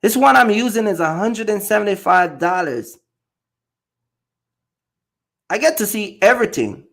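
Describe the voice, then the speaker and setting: A man's voice: high voice, expressive, fairly quick, gravelly, fairly bright tone. A man from Jamaica, a box truck driver chatting loosely on a livestream with many fillers and repeated words. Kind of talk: casual livestream chat.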